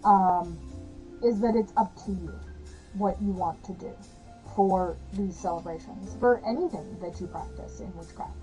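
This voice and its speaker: A slightly hoarse woman talks to her camera in an easy, rambling vlog manner, full of fillers and little laughs. Her tone is mellow and darkish, with a gravelly edge. Faint music plays underneath. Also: mid-range voice, a little slow, rough recording. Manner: chatty, rambling, frequent fillers and soft laughs. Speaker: witchcraft vlogger. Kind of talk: casual talk to camera.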